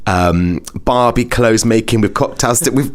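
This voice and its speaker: A man's voice: medium pitch, a little slow. A man with a British accent hosting a wellness podcast, talking in a casual, chatty, rambling and informal way. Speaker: podcast host.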